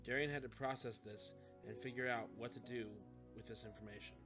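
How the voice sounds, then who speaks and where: A man's voice: medium pitch, fairly even intonation, brisk, over music. A man reading a scripted story as an audiobook narrator.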